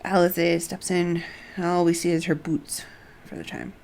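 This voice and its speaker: A woman's voice: lowish voice, little pitch movement, moderate pace, vocal fry, a little mellow, slight background noise. A woman game streamer commenting live and reading dialogue aloud.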